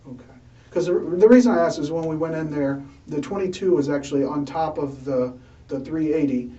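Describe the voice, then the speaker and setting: A male detective questions slowly and hesitantly, circling back over his own words. His voice is fairly high but mellow. He sounds distant and muffled in an echoey interrogation room. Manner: hesitant, repeats himself, many fillers. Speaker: police detective. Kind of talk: police interrogation.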